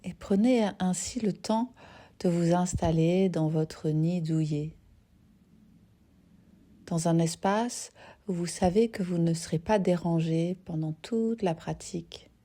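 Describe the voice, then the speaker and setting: Slow, mellow, low-voiced woman guiding relaxation. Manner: gentle, unhurried, pausing between short phrases. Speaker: yoga nidra teacher. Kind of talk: guided relaxation meditation.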